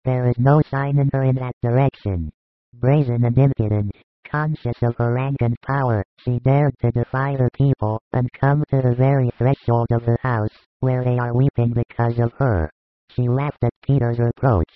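A man playing a gentle, grave religious teacher in a scripted audiobook drama, speaking in a measured, consoling way. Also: medium pitch, steady tone, no room sound, lightly acted. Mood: solemn, teaching. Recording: dry, narrow phone-like sound.

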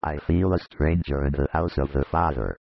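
A man's voice: steady tone, moderate pace, dry recording, lo-fi sound, heavily acted. A man voicing a grieving, remorseful male disciple who speaks in a heavy, brooding confession, read as an audiobook. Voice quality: very deep gravelly husky voice. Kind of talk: audiobook reading of a religious narrative.

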